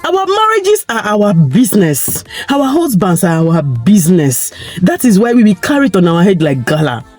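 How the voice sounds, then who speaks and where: A Nigerian woman with a croaky, tired voice, giving her opinions in a chatty vlog and pushing her points with rhetorical questions. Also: mid-range voice, very animated, moderate pace, few pauses, a little mellow, soft background music. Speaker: vlogger.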